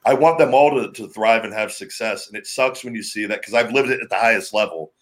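A man with a deep, heavy, booming voice, hosting a podcast in a loose, rambling, talkative way. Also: a little fast, a bit bright. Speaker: podcast host, former pro wrestler. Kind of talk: casual podcast chat.

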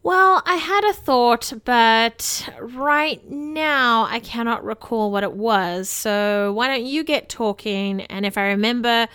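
A woman's voice: fairly high pitch, slow, hardly any pauses, pushed and bright, clear, resonant tone, dry recording. A woman co-hosting a podcast, talking in a casual, informal and meandering way about movies. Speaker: podcast co-host. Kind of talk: casual movie discussion chat.

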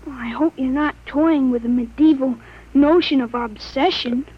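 A calm, knowing young boy. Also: high-pitched, moderate pace, breathy edge. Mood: earnest. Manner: calm, precise, matter-of-fact. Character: thirteen-year-old boy with a grown man's mind. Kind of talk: 1940s radio drama acting. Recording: dull lo-fi old radio recording.

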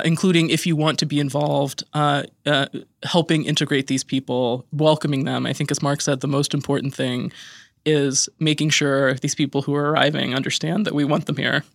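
A woman's voice: sits low, no echo, studio quality. A woman from Idaho, a podcast guest, speaking in an impassioned, emphatic way and sounding tired and on edge. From Idaho, USA. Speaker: guest commentator. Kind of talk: podcast interview.

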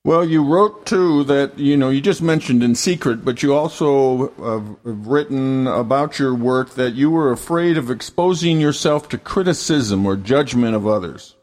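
A man's voice: mid-range voice, moderate pace, few pauses, darkish tone. A man hosting a radio interview, asking questions in an easygoing way with frequent uh fillers. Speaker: radio host.

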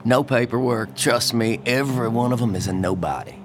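An actor playing a shady, breezily ruthless older brother and political fixer in an audio drama, with a folksy, glib and coarse manner. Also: male voice, medium pitch, animated, brisk, background noise, lightly acted. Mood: reassuring, sly.

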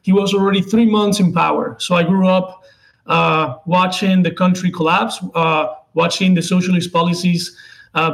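A man in his twenties with a Venezuelan accent, a native Spanish speaker, answering interview questions haltingly as he searches for words. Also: sits high, vocal fry, fairly bright tone, low-quality recording. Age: 20s. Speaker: interview guest, political contributor.

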